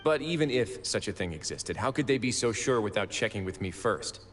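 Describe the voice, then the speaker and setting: A man plays a calm, authoritative duke with a fairly low voice. He speaks formally and with dry wit, as in anime game dialogue, with music playing behind. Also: close to an everyday voice. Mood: patient. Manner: measured, wry, formal. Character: composed, wry adult duke in charge of guards. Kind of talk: anime video game voice acting.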